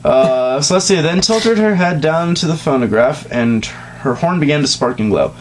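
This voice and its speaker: A man's voice: on the high side, lively intonation, normal speed. A man giving a dramatic amateur reading of a story, breaking off into giggly, crude asides. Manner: self-interrupting, giggly, crude. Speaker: reader of a comedic fan fiction reading. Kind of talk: dramatic reading of fan fiction with casual joking asides. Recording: noisy home recording with hum.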